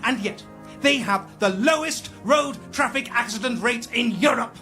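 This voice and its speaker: A man's voice: very high-pitched, a little slow, bright, forceful tone, soft background music, heavily acted. An actor playing a smug, pompous man giving a matter-of-fact radio comedy monologue. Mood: smug, deadpan. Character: smug man signing off as pressure-group founder.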